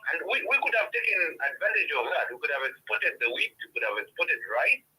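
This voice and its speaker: A man's voice: fairly high pitch, big pitch swings, fairly quick, pushed and bright, breathy edge, faint music behind. A man from western Zambia with a Zambian English accent, calling in to argue his point earnestly, with many restarts. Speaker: caller. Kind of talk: phone-in comment. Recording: tinny phone line.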